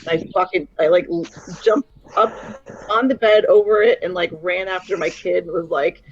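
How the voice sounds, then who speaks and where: A woman chatting casually as a co-host, telling stories in an animated way and swearing freely. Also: fairly low pitch, moderate pace. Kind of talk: casual chat. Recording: dull lo-fi sound.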